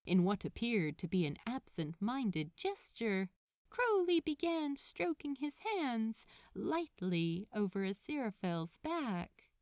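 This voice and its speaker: A woman's voice: fairly high pitch, sing-song, slow pace, a little mellow, no room sound, studio quality. A woman reading fiction aloud as a scripted story narrator. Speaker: podfic narrator.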